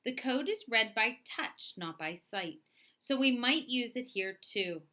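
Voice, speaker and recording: A woman's voice: fairly high pitch, lively intonation, unhurried, bright, forceful tone. A woman voicing a kindly, instructive male school headmaster in a storybook read-aloud.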